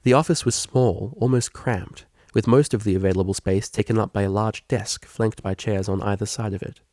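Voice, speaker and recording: A man reading scripted fiction aloud as a narrator, with a creaky voice. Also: on the low side, fairly even intonation, normal speed, a little mellow, no echo, studio quality. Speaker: audiobook reader.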